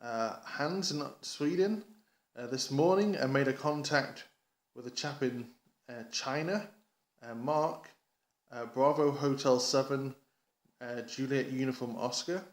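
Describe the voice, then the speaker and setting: The sound is roomy and echoey around a man from England with a middling-low voice. He talks like a hobbyist vlogger giving a casual update. His delivery is enthusiastic and rambling, halting often and doubling back on his words. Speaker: amateur radio hobbyist vlogger.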